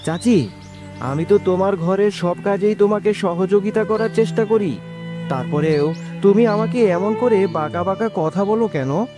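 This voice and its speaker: Man voicing a plaintive high-voiced orphan boy. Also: normal speed, over music, lightly acted. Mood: hurt. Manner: pleading, polite, plaintive. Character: sad, obedient orphaned schoolboy. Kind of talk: children's cartoon voice acting.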